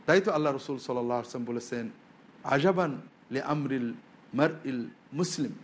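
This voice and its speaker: A man's voice: mid-range voice, fairly quick. A man preaching as an Islamic scholar, explaining emphatically to viewers. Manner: emphatic, explanatory, with rhetorical questions. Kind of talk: religious sermon to viewers, with Arabic quotations.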